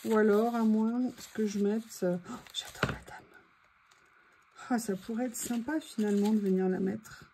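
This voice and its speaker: A woman's voice: mid-range voice, fairly even intonation, normal speed. A woman chatting casually and thinking aloud as she hosts a craft video, with a voice that is starting to give out. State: voice giving out, throat clearing. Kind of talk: casual chat while crafting. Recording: faint background noise.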